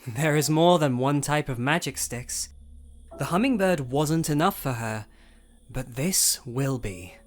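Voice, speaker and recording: A man playing an earnest young pony, lively pitch. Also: measured pace, a little hiss or hum, natural voice. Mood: confident. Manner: earnest, defensive. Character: earnest, stubborn young male pony glassblower artist. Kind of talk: audio story character dialogue.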